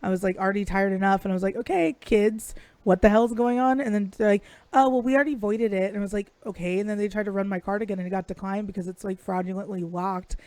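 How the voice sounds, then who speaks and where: Woman, raspy, swears freely, dry close sound, chatty storyteller. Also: mid-range voice, fairly even intonation, fast, few pauses, a little mellow, no echo. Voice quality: raspy today. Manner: profane, storytelling. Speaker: podcast co-host. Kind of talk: casual chat.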